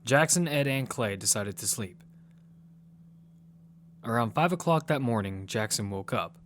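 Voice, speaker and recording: A man's voice: mid-range voice, expressive, measured pace, fairly bright tone, slight background noise. A man giving scripted narration for a piece of audio fiction. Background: music.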